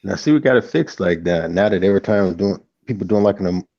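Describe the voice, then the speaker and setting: A man's voice: deep voice, lively intonation, quick pace, gravelly, warm tone, dry recording, highs cut off. A man co-hosting a casual livestream, laid-back and agreeable with short replies. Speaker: co-host.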